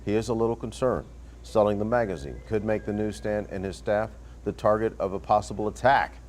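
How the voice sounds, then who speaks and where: A man's voice: fairly low pitch, fairly quick, darkish tone, slight background noise. An American man anchoring a newscast, reading stories and trading casual desk banter. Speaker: news anchor.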